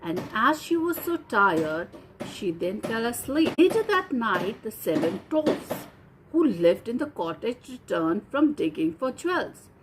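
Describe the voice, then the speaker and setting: Slow, higher-voiced woman storyteller. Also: big pitch swings, slight background noise, rough recording. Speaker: storyteller and host. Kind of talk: slow, scripted fairy-tale narration.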